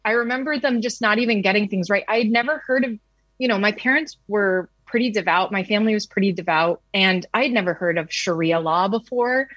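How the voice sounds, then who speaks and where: A woman's voice: medium pitch, brisk, a bit bright, dry recording. A woman news reporter in her thirties from the United States speaks candidly and conversationally. The audio is slightly dull and dry. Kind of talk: interview recollection.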